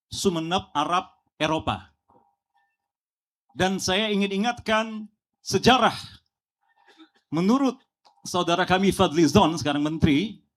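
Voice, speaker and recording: A male preacher giving an emphatic speech at a press gathering, listing facts with rising force. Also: a little high.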